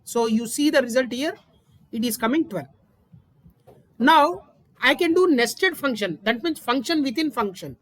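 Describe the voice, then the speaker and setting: A high-pitched woman walks through code one step at a time, checking in with "right?". The recording is close and dry, with no room sound. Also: measured pace, long pauses, no echo. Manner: steady step-by-step explaining, frequent "right?". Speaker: instructor. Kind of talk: screen-recorded coding lesson.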